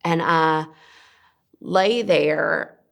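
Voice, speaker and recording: A young woman playing a weary, protective abused daughter, recounting trauma in a halting, deliberate dramatic monologue. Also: sits low, vocal fry, a bit bright, exaggerated voice. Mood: halting, pained. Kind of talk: dramatic stage-style monologue.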